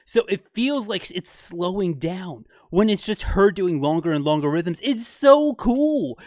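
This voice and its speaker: A man breaking down music in an enthusiastic, explanatory way for a video, with fillers and quick asides. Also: sits high, no room sound, clean studio sound. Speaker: video commentator.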